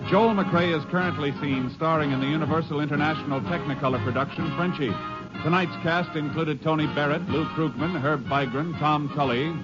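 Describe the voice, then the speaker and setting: A man with an American accent announcing in scripted 1950s radio style. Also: a little high. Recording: muffled old radio broadcast, loud music.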